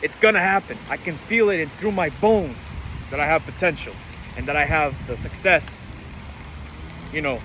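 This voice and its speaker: A nineteen-year-old man talks earnestly to the camera in a slightly high voice, rambling with lots of fillers and restarts. He is outside in the rain, and the recording is full of wind and outdoor noise. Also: normal speed, bright, forceful tone, poor audio. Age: late teens. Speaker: vlogger. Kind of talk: casual monologue to camera.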